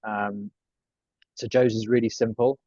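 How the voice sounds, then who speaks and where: A man's voice: lowish voice, level tone, measured pace, warm tone, no room sound. Young male coach, loose and jokey, swears a lot; dry, muffled sound. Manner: chatty, swears freely, jokey asides. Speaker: personal branding coach. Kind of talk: casual group coaching talk.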